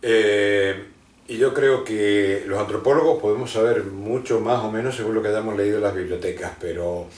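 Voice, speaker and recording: A man holds forth as a guest in a conversational interview, his voice sitting low. He rambles and explains, trailing into hesitations and restarts. The sound is roomy and picked up from a mic some distance away. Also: moderate pace, a little mellow. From Argentina.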